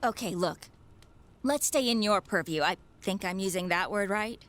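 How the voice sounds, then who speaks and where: A woman playing a caring, persistent caregiver in a naturalistic radio drama, probing and gently teasing as she persuades. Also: mid-range voice, moderate pace, close to an everyday voice. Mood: dry, probing. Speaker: actor in an audio drama.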